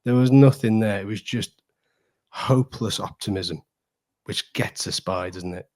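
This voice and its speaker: A man's voice: lowish voice, unhurried, a little mellow. A man chatting casually and with strong opinions as a football podcast guest, emphatic and repeating phrases to drive points home.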